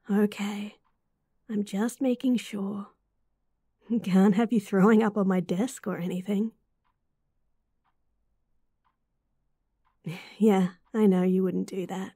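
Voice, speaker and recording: A woman with an Australian accent playing a caring, teasing young student best friend in a roleplay audio, soft and breathy. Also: mid-range voice, no echo, clean studio sound. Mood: gently concerned. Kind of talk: roleplay audio acting, intimate and softly spoken.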